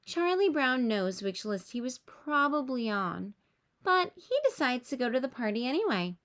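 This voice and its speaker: A woman reading a children's story aloud with expressive narration aimed at young listeners. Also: high voice, normal speed. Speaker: storybook reader.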